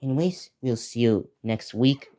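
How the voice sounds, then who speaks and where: A teenage male video creator giving a casual, unscripted preview, talking in a halting, repetitive way as he lists things. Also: medium pitch, warm tone. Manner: halting, repetitive, listing place names.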